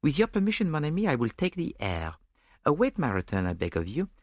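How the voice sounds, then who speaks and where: A man voicing a fussy, mocking male Belgian detective, dry and precise, in a lively sing-song. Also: on the high side, a little fast, dry recording, voice pushed up, full character voice. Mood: musing.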